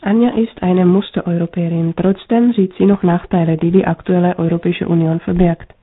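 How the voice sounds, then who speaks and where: A woman reads a scripted radio report in an even, measured way. Her voice is husky and low for a woman. The sound is narrow and tinny, like a phone line. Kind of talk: scripted news narration.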